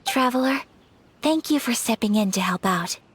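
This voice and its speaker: A woman's voice: fairly high pitch, lively intonation, a little hiss or hum, close to an everyday voice. A woman voicing a refined young noblewoman. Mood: polite. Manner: formal, gentle, measured. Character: graceful young noblewoman, polite and earnest. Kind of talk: game voice acting.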